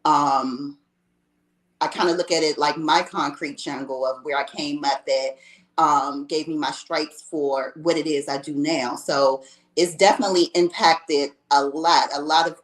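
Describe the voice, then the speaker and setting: A woman's voice: deep voice, fairly even intonation, a little slow, echoey room, poor audio. A woman from Washington, D.C., a makeup artist being interviewed, talking casually and laughing easily. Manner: casual, laughs easily, calls host girl.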